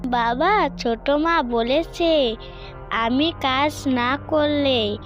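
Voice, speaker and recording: A woman's voice: high voice, very slow, faint music behind. A woman voicing a meek, mistreated little girl in a cartoon, plaintive and obedient, in slightly muffled sound. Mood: tearful complaint. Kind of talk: cartoon voice acting.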